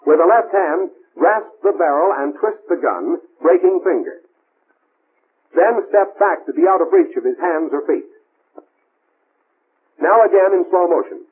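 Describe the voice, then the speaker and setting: A man's voice: sits high, animated, measured pace, pauses often, slight background noise. A man with an American accent narrating a 1940s military training film in a clipped, formal, authoritative manner, on an old tinny film soundtrack. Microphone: old film voice-over recording.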